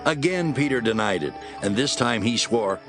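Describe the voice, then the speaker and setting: A man's voice: medium pitch, lively intonation, moderate pace, over music. A man narrating scripture as a scripted dramatic reading.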